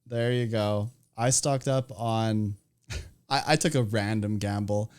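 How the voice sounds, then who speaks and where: A man's voice: on the low side, fairly even intonation, slow, darkish tone, clear, resonant tone. A young Canadian man with a partly American accent, hosting a casual chat and laughing often. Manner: chatty, laughs often, restarts. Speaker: podcast host.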